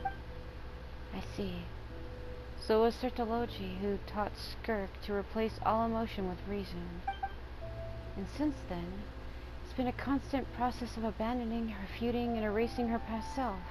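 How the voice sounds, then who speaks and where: A woman's voice: fairly high pitch, noisy background, lightly acted. A woman playing an earnest, thoughtful young traveler in a video game, pensive and questioning. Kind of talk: scripted game voice acting.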